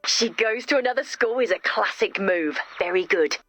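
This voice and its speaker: A woman's voice: medium pitch, animated, moderate pace, fairly bright tone. A woman voicing a chipper camp counselor in a video game, with an upbeat, theatrical storytelling manner. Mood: friendly. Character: chipper, theatrical female camp counselor telling spooky stories. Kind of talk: video game character acting.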